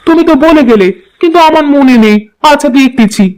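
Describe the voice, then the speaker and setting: A woman's voice: high-pitched, fast talker, darkish tone, close to an everyday voice, rough recording. Woman acting bossy, caring village housewife. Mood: homely. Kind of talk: cartoon voice acting.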